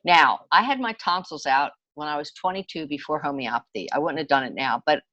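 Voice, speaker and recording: A woman in her sixties with a slightly low voice, recorded dry without room echo. She talks like a podcast guest swapping personal stories, chatty and emphatic as she moves from one anecdote to the next. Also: expressive, a little fast, pushed and bright, dull audio. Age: 60s. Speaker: podcast guest, homeopathy enthusiast. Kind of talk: conversational personal storytelling.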